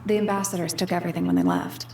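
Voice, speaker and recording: A woman's voice: fairly low pitch, big pitch swings, very fast. A woman playing a probing, serious detective in a video game, questioning a culprit with short, pointed questions. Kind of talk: scripted video game dialogue. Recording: echoey, with music behind.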